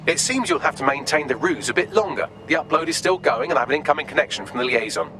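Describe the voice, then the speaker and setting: Glib, high-pitched, quick AI assistant. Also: male voice, lively intonation. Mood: dry sarcasm. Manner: glib, wry, wordy delivery. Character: witty, smug male AI assistant, cheeky and sardonic. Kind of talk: video game voice acting.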